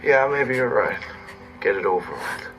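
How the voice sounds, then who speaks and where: A man's voice: lowish voice, expressive, moderate pace, over music, close to an everyday voice. An actor playing a self-mocking, ill Irish journalist in his thirties in a radio drama. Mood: resigned, wry. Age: 30s.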